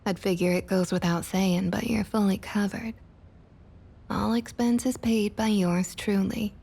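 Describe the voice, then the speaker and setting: A woman playing a smug, opportunistic vampire council member in an ASMR roleplay, with a soft breathy Southern US accent and coaxing, condescending sweet talk. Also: mid-range voice. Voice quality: soft, breathy, slightly husky. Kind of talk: ASMR roleplay, intimate scripted monologue.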